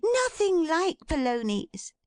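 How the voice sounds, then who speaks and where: A woman voicing a cheerful young woman who teases her father in a bright, eager, playful way. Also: high voice, animated, takes it slowly, no room sound, clean studio sound, pitched above the performer's own voice. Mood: gleeful.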